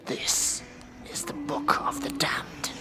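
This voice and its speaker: A man's voice: very high-pitched, sing-song, loud music behind the voice, pitched above the performer's own voice, full character voice. A man voicing a stern, impatient demon judge of Hell in a whispered horror ASMR role-play, scolding and commanding. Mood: exasperated, instructing. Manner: scolding, weary, deliberate, commanding.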